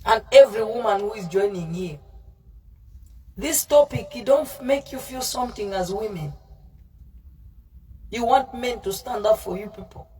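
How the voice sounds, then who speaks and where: A woman's voice: medium pitch, normal speed, long pauses, darkish tone. Scolding woman preacher, distant mic. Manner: confrontational, scolding, repeats herself; mixes in Pidgin. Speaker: livestream preacher. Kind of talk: fiery informal sermon, answering live comments.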